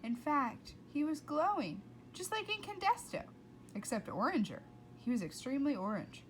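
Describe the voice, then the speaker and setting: A woman reading a children's book aloud with expressive, playful and dramatic narration. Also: high-pitched, moderate pace, a little hiss or hum. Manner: dramatic, playful delivery with whispers and asides. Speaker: read-aloud storyteller.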